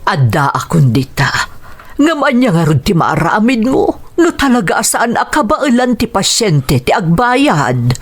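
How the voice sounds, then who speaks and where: A woman's voice: medium pitch, big pitch swings, measured pace. A woman with a Filipino accent acting a worried, admonishing older mother in a radio drama.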